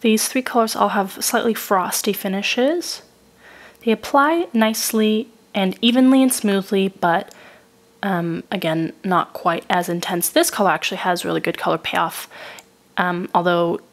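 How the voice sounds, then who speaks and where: A woman narrates a makeup review while still getting over a cold. She works through each shade in a steady, methodical way. Her tone is descriptive and even. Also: medium pitch, moderate pace, gravelly. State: recovering from a cold. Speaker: beauty reviewer.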